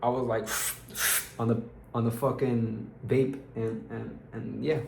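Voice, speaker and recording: A man's voice: mid-range voice, little pitch movement, slow pace, creaky, a little mellow, echoey room, low-quality recording. A young man from Peru with an American accent, talking through a casual diary vlog in a rambling, slang-heavy way and sounding tired. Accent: American English. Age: young adult. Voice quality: rambling, restarts often, uses slang like dude and bro. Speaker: vlogger.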